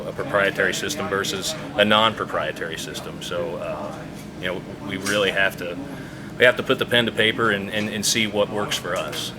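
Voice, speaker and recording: A man giving unscripted interview answers as an official, explaining things in a halting, measured way. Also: sits low, noisy background. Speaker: public safety radio official being interviewed.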